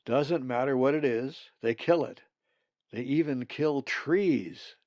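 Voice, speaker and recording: A man's voice: mid-range voice, takes it slowly, darkish tone, dry recording, dull audio, pitched above the performer's own voice, close to an everyday voice. A man voicing a blunt, sly, hungry male raven in a storybook, with emphatic, folksy talk. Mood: grim, emphatic.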